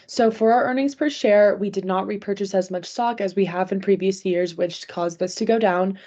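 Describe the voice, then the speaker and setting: A woman's voice: mid-range voice, moderate pace, warm tone. A woman giving a prepared business presentation as a company presenter, on slightly muffled call audio.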